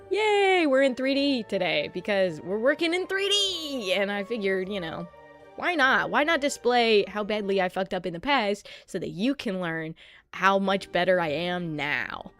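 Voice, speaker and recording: A woman's voice: mid-range voice, a bit bright. A woman livestreaming in a casual way, chatting with her viewers, swearing often and laughing a lot, with a sleepy voice. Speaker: streamer. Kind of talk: casual livestream chat while setting up a 3D model. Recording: faint music underneath.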